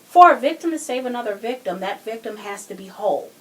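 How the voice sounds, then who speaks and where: A woman's voice: a little high, expressive, fast talker, slight background noise, low-quality recording. A woman co-hosting a podcast, talking casually and emphatically as she argues her points in a true crime discussion. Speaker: podcast co-host.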